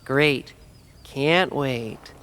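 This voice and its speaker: A woman's voice: a bass voice, drawn-out words, fairly bright tone, a little hiss or hum, heavily acted. A woman playing a dry, sceptical companion in an audio drama. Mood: dry, concerned.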